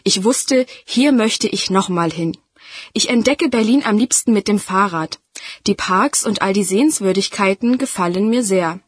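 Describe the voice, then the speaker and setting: A young woman reading a scripted part as a student, friendly and bright. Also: medium pitch, moderate pace, breathy edge, dry recording, natural voice. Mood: cheerful. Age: young adult. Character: young Dutch female student, 19. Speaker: student speaking in a listening exercise. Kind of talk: scripted reading for a listening test.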